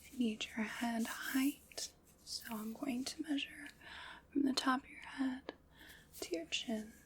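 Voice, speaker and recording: A calm, precise female armorer played by a woman leans close and measures you in soft, mostly breathy whispers for an ASMR roleplay. Her slightly high voice has a gravelly edge, and she takes her time, slipping in wry little jokes. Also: slow pace, a little hiss or hum. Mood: hushed, attentive. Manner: gentle, precise and wryly joking.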